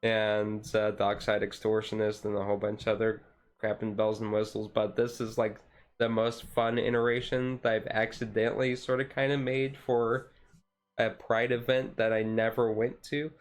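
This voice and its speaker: A man talks casually to a livestream in a fairly flat, even tone. He rambles in a laid-back way, dropping informal, jokey slang as he goes. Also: on the low side, normal speed.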